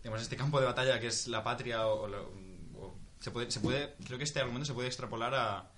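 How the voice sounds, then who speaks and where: A man's voice: mid-range voice, brisk, noisy background. A young man from Spain in a casual group discussion, thinking aloud with many restarts. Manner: thinking aloud, restarts, swears when stuck.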